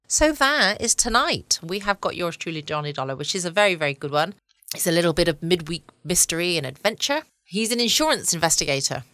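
An English woman chatting informally and cosily as the host of a relaxed bedtime podcast. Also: fairly low pitch, no echo. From United Kingdom. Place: at home, indoors.

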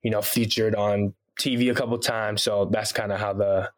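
A man's voice: fairly low pitch, little pitch movement, normal speed. A young man from Haiti who grew up in Boston, with an American accent, chatting casually as a podcast guest, laughing often and using lots of fillers. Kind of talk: casual interview chat.

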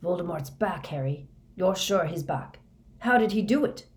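A woman's voice: fairly low pitch, animated, moderate pace, a little hiss or hum, close to an everyday voice. A woman reading a storybook, voicing a grim, menacing older male wizard teacher who questions insistently with fanatical intensity. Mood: intent. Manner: quiet insistent questioning rising to fervent intensity.

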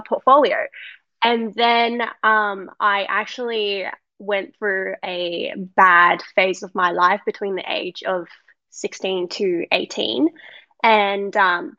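An Australian woman chats casually as a guest on a podcast. Her voice sits at a middle pitch, and the recording is very dry and a little dull. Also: bright, forceful tone, no room sound. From China and Australia. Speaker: podcast guest, model and influencer. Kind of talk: casual chat.

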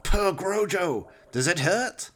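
A man's voice: sits high, expressive, slow, a bit bright, voice pushed up, full character voice. A man playing an evil scheming mad scientist in a horror radio play, theatrical, pompous and sneering. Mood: alarmed. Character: evil scheming mad scientist doctor, mocking and prone to rage.

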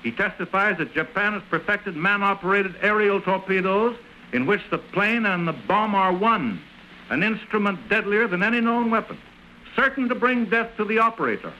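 A man's voice: sits high, lively intonation, normal speed, pushed and bright, highs cut off. An American man delivering oratorical, emphatic 1930s radio news commentary on a noisy old recording.

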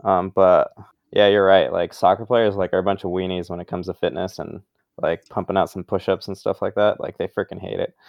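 A man's voice: low-pitched, a little fast, creaky, no echo, muffled recording. A young man from Brazil, a guest in a casual interview, talking loosely and filling his speech with "like" and "um". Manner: relaxed, loose, leans heavily on "like" and "um".

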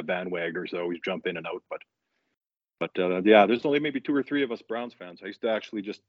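A man's voice: deep voice, no room sound. An older man from central Canada, a guest on a podcast, telling his story in an easygoing, casual way. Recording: dull dry lo-fi audio.

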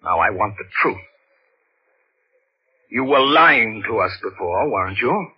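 An actor playing a domineering, rattled, menacing husband who interrogates insistently, in 1940s radio mystery style on an old recording. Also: male voice, on the low side, expressive, unhurried, breathy edge, dull audio. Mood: cold threat.

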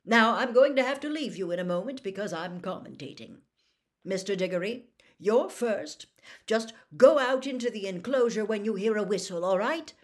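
A woman voicing a jovial middle-aged male official in an audiobook, chummy and eager, with a booming, hearty manner. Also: animated, normal speed, lightly acted. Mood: hearty, bustling. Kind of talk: audiobook character acting.

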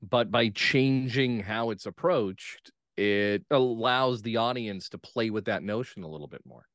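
An American man speaking as a playwright guest in an interview, analytical, measured and thoughtful. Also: on the low side, fairly bright tone, dry recording, clean studio sound. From United States.

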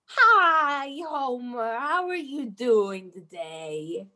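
Woman playing dotty sweet shopkeeper, high lilting voice, unhurried, sugary, improv comedy. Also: lively intonation, pushed and bright, heavily acted. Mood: sweet, forgetful. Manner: sugary endearments. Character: sweet, forgetful female cupcake shop owner.

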